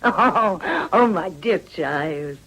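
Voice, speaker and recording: Imperious madam in a radio play, lowish husky voice, brusque and knowing. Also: female voice, lively intonation, drawn-out words, a bit bright, heavily acted, low-quality recording. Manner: brusque, knowing, grandly condescending. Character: worldly, shrewd foreign madam, cynical and imperious. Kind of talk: period radio drama acting.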